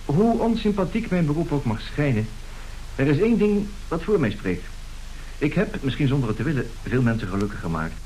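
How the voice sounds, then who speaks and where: Smooth unrepentant con man, old radio drama, very lively pitch, bit of hiss. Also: brisk, low-quality recording. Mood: grandiose, self-justifying. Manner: theatrical, formal, self-assured. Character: suave, unrepentant male swindler who woos women by letter. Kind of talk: old Dutch radio play acting. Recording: hissy old radio recording.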